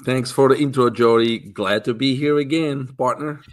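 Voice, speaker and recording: A man's voice: mid-range voice, moderate pace. Belgian-accented man in his fifties, easygoing guest, chatty storyteller with filler words. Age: 50s. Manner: relaxed storytelling with many fillers. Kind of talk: casual interview chat.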